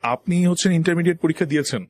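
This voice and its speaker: A man's voice: fairly high pitch, very fast. A man with a Bangladeshi accent hosting a conversational radio interview, asking probing questions with short acknowledgements. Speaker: radio host interviewing a guest. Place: radio studio.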